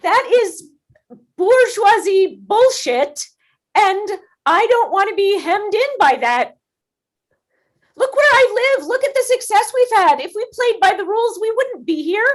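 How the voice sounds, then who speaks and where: A woman's voice: very high voice, animated, measured pace. A woman in an improvised comedy playing a wealthy boss who is passionately in love with her employee and talks in an emphatic, indignant way. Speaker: improv performer.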